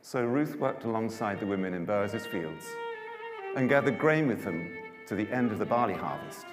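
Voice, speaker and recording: A man with a lowish voice narrates a dramatised Bible story. His delivery is expressive, rising and falling widely. The sound rings in a large hall, with violin music behind. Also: normal speed. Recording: echoey hall reverb.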